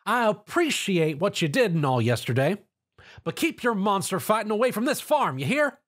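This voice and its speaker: Guy doing country farm pony gal, blunt n feisty, folksy, pitched way up. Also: sing-song, moderate pace, fairly bright tone, full character voice. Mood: angry.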